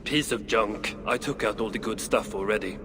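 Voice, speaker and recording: An actor voicing a crude, ill-tempered old male dwarf with a gruff, sardonic way of talking in a video game cutscene. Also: lowish voice, moderate pace, sharp tone, faint music behind. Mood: grumpy, scornful.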